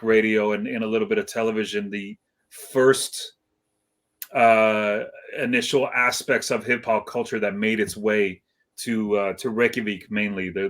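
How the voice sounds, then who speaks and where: A man's voice: fairly low pitch, a bit bright. A middle-aged man from Iceland, a guest DJ in a casual interview, talking in a laid-back, modest way with many fillers and restarts.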